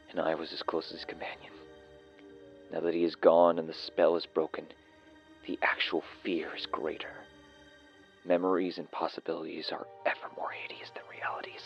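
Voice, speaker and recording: Guy acting as grave haunted doctor telling gothic horror, very low creaky voice, bit muffled, soft music under. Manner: solemn, measured, dread-laden. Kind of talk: dramatic reading of gothic horror story. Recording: dull audio with faint music.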